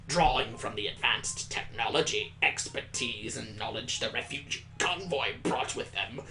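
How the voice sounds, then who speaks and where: Male performer, grave schoolteacher, gravelly, formal, measured lecture, visual novel. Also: mid-range voice, sharp tone, heavily acted, low-quality recording. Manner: formal, deliberate.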